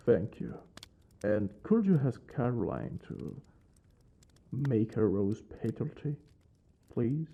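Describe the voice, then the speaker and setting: Brooding vampire lord, played by a man for ASMR roleplay: aloof but tender underneath, soft and breathy, unhurried, with expressive swings in pitch. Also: exaggerated voice. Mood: lordly, relieved. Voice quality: soft, breathy, often dropping to a whisper. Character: brooding male vampire lord, aloof yet secretly caring. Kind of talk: ASMR roleplay, intimate dramatic monologue.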